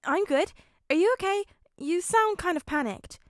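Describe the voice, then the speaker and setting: A woman voicing a bubbly, caring teenage boy, cheery with gentle concern, in a story reading. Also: very high-pitched, animated, normal speed, no room sound, clean studio sound, voice pushed up.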